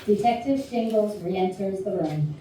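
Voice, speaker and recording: A woman's voice: mid-range voice, a little slow, mellow. A woman narrator reading stage directions at a staged reading of a play. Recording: very echoey room, distant mic, faint noise.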